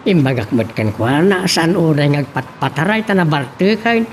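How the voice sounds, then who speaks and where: Woman acting low-voiced old Filipino father. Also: expressive, normal speed. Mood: concerned. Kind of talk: Ilocano radio-style drama acting. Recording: distant mic, faint background noise.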